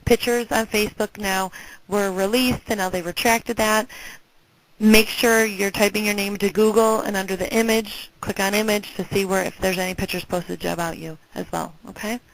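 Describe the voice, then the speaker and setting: A woman's voice: mid-range voice, steady tone, moderate pace. A woman giving an informal instructional presentation in a conversational, directive way, often checking in with her listeners. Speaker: presenter, alumni relations career staffer. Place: group conference call. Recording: clearly noisy conference call with clicks.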